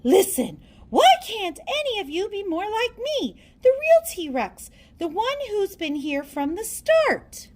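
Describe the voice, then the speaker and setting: A woman's voice: very high-pitched, very animated, slow pace, hardly any pauses, darkish tone, voice pushed up, exaggerated voice. A woman voicing a bossy, exasperated female T-Rex in a children's storybook, emphatic and indignant. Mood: furious, indignant.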